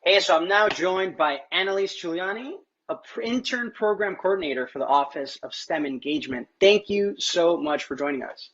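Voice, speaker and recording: A man's voice: high-pitched, moderate pace, few pauses, a bit bright. A man hosting an interview show in an informal, encouraging way. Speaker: host. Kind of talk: upbeat live interview hosting. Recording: dull, lo-fi audio.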